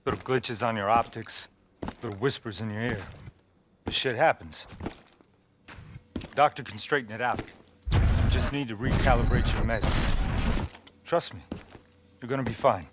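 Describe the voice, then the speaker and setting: A man's voice: mid-range voice, moderate pace, long pauses, background noise, lightly acted. A man playing a brash, foul-mouthed soldier mentor in a video game, talking in a slangy, brotherly, swaggering way. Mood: reassuring, steady. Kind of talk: video game cutscene acting.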